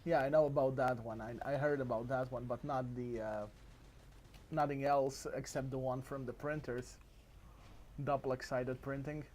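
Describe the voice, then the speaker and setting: A man's voice: medium pitch, fairly even intonation, moderate pace, a little mellow. A man hosts a casual gaming podcast interview with friendly curiosity, laughing easily. His is a little broken. A faint hiss sits behind his voice. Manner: friendly, laughs often, slightly broken English. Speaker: podcast host.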